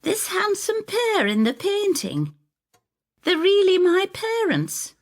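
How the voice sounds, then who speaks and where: Audio story role: actress playing anxious older woman, high-pitched, swooping, demanding and bitter. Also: huge pitch swings, takes it slowly, exaggerated voice. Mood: desperate. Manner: plaintive, demanding. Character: Effie, anxious, bitter woman neighbour nearly seventy.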